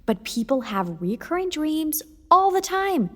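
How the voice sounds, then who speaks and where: A woman's voice: sits high, big pitch swings, a little slow, fairly bright tone, full character voice. A woman playing a sceptical but caring young confidante in an audio drama, blunt and dryly casual, with long reverb.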